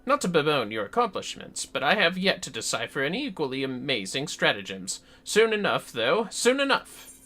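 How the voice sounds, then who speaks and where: A man's voice: on the high side, wildly swooping pitch, normal speed, few pauses, bright, forceful tone, heavily acted. A man voicing an earnest, self-important scholar from a fantasy game, formal and theatrical, with music behind. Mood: ambitious, rueful. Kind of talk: reading game dialogue aloud in character.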